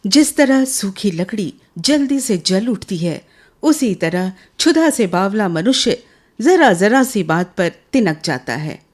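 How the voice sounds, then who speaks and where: Casting: female radio drama narrator, medium pitch, animated intonation, unhurried scripted delivery.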